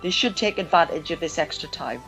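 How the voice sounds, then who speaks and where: A man's voice: a little high, lively intonation, fairly quick, sharp tone, soft background music. A young man giving his opinions in a casual fan discussion. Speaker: podcast co-host or guest.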